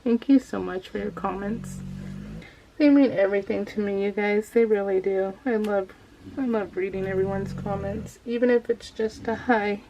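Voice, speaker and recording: A woman's voice: medium pitch, steady tone, normal speed. A woman hosting a casual craft vlog, chatting off the cuff in a rambling, gushing way and often interrupting herself. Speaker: craft video host. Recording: dull lo-fi home recording, faint noise.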